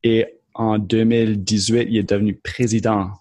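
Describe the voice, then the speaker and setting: A man's voice: fairly low pitch, level tone, normal speed, dark tone. A man in his thirties from New Brunswick with an Acadian French accent, speaking as a podcast guest in a casual, earnest way with many restarts. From Moncton, New Brunswick, Canada. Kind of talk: casual conversational interview.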